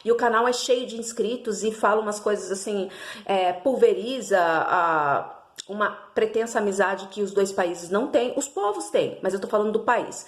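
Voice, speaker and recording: A woman's voice: medium pitch, moderate pace, echoey room. A Brazilian woman hosting her own channel, giving an opinionated monologue with rhetorical questions and phrases she repeats for emphasis. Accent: Brazilian Portuguese. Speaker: channel host.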